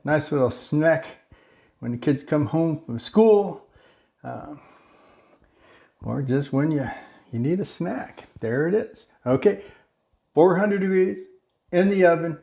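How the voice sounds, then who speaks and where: A man hosting a home cooking video, chatty and relaxed, talking through each step of a simple recipe. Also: fairly high pitch, slow, vocal fry, pauses often. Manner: relaxed and chatty, slips into a joking mock-Italian accent. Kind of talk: casual cooking demonstration.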